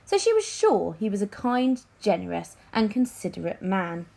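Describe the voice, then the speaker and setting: Woman reading a storybook, very animated. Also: medium pitch, normal speed, creaky. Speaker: teacher reading aloud to pupils. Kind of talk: expressive storybook narration.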